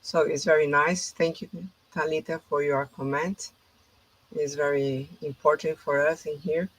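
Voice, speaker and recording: Slow-spoken Brazilian woman host. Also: sits low, fairly even intonation, husky, no room sound, rough recording. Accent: Brazilian Portuguese. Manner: hesitant non-native English with many fillers. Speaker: host, holistic therapist. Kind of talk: informal conversation.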